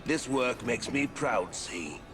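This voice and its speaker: An actor playing a gloating, sadistic Victorian chief warder in a video game, with a rough working-class London accent and a crude, bullying manner. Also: male voice, medium pitch, expressive, slow. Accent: English, working-class London. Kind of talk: video game character acting. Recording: music behind the voice.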